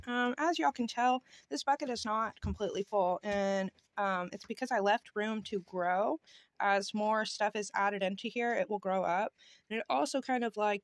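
A woman's voice: medium pitch, fairly even intonation, normal speed, dry recording. A woman in her thirties talking casually through a how-to vlog, recorded outdoors on a handheld phone. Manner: chatty, informal. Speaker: homestead vlogger. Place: outdoors on a home porch. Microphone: phone at arm's length or handheld.

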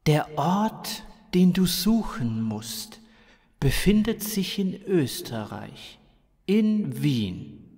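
A solemn alien messenger, played by a male storyteller, speaks with slow, weighty authority. The words are drawn out and portentous, as orders handed down to an earthling in a science fiction audiobook. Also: a little high, sing-song, darkish tone, breathy edge, big-room sound, pitched above the performer's own voice, exaggerated voice. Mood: commanding.

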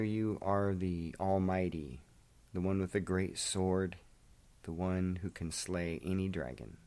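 A man's voice: low-pitched, a little mellow. A man hosting a devotional, reading aloud and praying. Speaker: devotional host.

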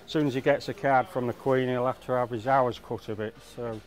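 A middle-aged man, a market stallholder talking casually and haltingly in an interview. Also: medium pitch, steady tone, slight background noise. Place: busy indoor market stall. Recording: music behind interview.

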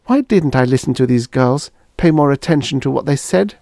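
A man voicing an anxious, quick-minded small-town woman. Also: medium pitch, lively intonation, vocal fry, a little mellow, dry recording, studio quality, pitched above the performer's own voice. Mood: urgent. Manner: urgent, raised voice.

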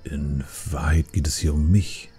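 A man voicing an earnest older pandaren brewmaster uncle in a fantasy audiobook, reasoning and remonstrating in a measured manner. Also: rumbling low, steady tone, warm tone, faint music behind, voice pushed down. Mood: quiet, grave.

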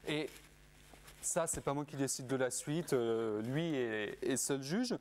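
Un homme d'une trentaine d'années qui mène une interview télévisée en hésitant, beaucoup de « euh », sur un ton joueur, micro-cravate dans une salle de théâtre vide. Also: male voice, medium pitch, takes it slowly, a little mellow. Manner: hesitant, many fillers, playful. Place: empty theatre auditorium. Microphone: lapel.

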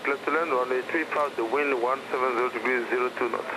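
A man, an air traffic controller, giving clipped clearances over the radio. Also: mid-range voice, fairly even intonation. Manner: brisk, clipped. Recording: noisy radio transmission.

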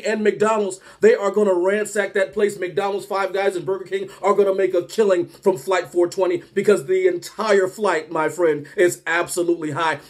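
A man voicing a male TV news reporter on location, talking in a slow, drawn-out newscaster style. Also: high-pitched, natural voice.

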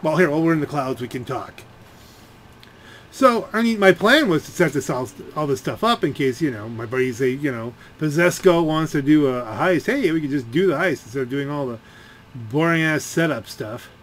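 A man's voice: fairly high pitch, expressive, moderate pace. A man giving casual gameplay commentary, rambling and grumbling as he thinks aloud. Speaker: game streamer and video creator. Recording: clear game engine noise behind. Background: video game car and ambient sounds.

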